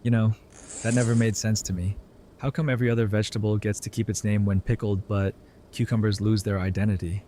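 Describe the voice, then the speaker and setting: Man acting an easygoing, low-voiced survivor. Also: flat delivery, brisk, dark tone, slight background noise, lightly acted. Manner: casual, wry. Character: easygoing, curious, friendly male survivor. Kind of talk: audio drama acting.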